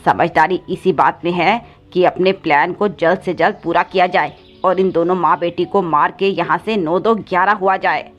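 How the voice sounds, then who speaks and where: A woman's voice: fairly low pitch, steady tone, moderate pace, hardly any pauses, lightly acted. A woman voicing a cruel, scheming male crow stepfather with a sly, scolding manner in a cartoon moral story. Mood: gloating scheming. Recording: slightly dull audio with background music.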